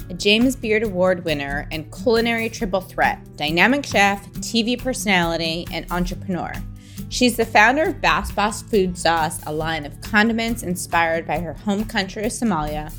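A woman hosting a wellness podcast, reading her intro and asking questions in a bright, upbeat, enthusiastic way. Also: lowish voice. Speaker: podcast host and company founder.

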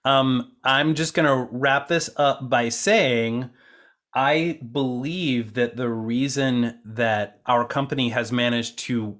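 A man's voice: mid-range voice, fairly bright tone. A man hosting a casual round-table podcast conversation, speaking in an earnest, appreciative way. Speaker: podcast host and co-producer.

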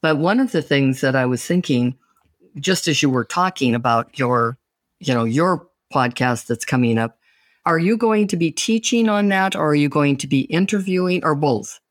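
A man hosts a casual interview, talking at length and sounding enthusiastic and encouraging. His voice sits a little higher than most men's. The sound is dry and close. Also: expressive, moderate pace, no echo. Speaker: podcast host.

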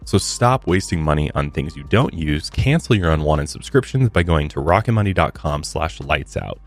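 A man's voice: very deep voice, expressive, normal speed, soft background music. A man hosting a podcast, talking in a casual, conversational way. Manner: conversational, informal. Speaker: podcast host. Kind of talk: casual podcast commentary and sponsor read.

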